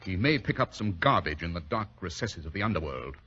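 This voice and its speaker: A male actor with a British accent playing a shrewd, self-assured gentleman detective in an old radio drama, speaking in a clipped, slightly husky, authoritative way. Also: low voice, big pitch swings, fairly quick, exaggerated voice. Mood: musing, assured. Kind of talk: 1940s radio drama acting. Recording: dull lo-fi old radio recording.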